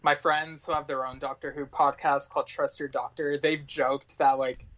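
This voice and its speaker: A man with a somewhat high voice chats as a podcast guest. His talk is loose and informal, peppered with filler words. The recording is a little dull and lo-fi. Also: level tone, normal speed, fairly bright tone. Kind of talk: casual podcast chat. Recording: slightly dull, lo-fi sound.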